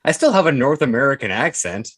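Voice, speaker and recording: A man's voice: medium pitch, very animated, fast, fairly bright tone. A Canadian man with a strong Canadian accent, chatting and joking casually on a live stream. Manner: chatty, jokey, swears lightly. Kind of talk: casual chat.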